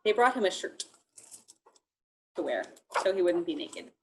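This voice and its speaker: A woman reading a picture book aloud to young children in an expressive, encouraging way, talking directly to them. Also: fairly low pitch, unhurried.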